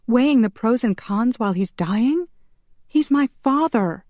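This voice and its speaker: A woman's voice: a little high, animated, a little slow, darkish tone, no room sound, studio quality, voice pushed up. A woman narrator voicing a distraught young woman in an audiobook, tearful, pleading and indignant. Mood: indignant, anguished. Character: distraught young vampire woman pleading for her dying father.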